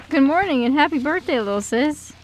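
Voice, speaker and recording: A considerate little orphan boy, played by a woman in an amateur radio play. The voice is fairly high, with a gentle and earnest delivery. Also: lively intonation, normal speed, slight background noise, poor audio. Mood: earnest, sweet. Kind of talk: amateur radio drama script reading.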